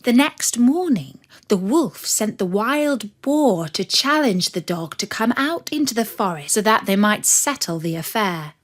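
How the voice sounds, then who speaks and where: A woman narrating a fairy tale for children in an expressive, measured storytelling manner. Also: medium pitch.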